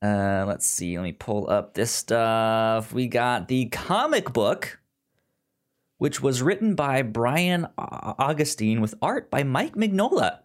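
A man hosting a podcast in casual chat, with a halting delivery full of stammered restarts. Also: medium pitch, expressive. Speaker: podcast host. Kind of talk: casual chat about comics and film.

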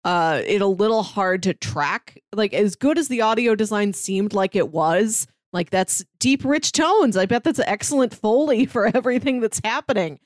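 A woman with a mid-pitched voice, recorded dry with no room sound. She talks casually as a podcast co-host, giving her impressions in a review discussion. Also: animated, normal speed, hardly any pauses, no echo.

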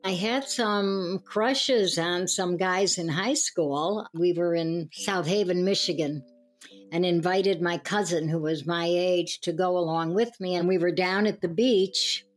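Woman, eighties, low register, candid personal reminiscence, faint music underneath. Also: measured pace. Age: 80s. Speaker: discussion participant.

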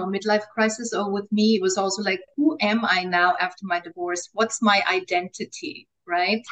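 A middle-aged woman with an Austrian German accent, a native German speaker, talking as a life coach in a casual interview, animated, laughing often and repeating words as she starts her thoughts. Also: fairly high pitch, rough recording.

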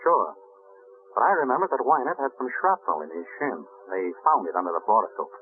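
A man's voice: mid-range voice, sing-song, fairly quick, close to an everyday voice. A man playing a suave amateur detective in 1940s radio drama, urbane, breezy and dryly playful. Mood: wry, confident. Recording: noisy, narrow-band vintage radio recording.

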